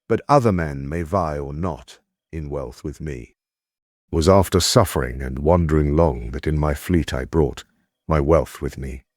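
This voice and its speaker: A man's voice: rumbling low, darkish tone, dry recording, studio quality. An actor playing a proud, hospitable king in an epic audio drama, with stately, declamatory archaic diction. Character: proud, hospitable fair-haired warrior king, commanding and worldly.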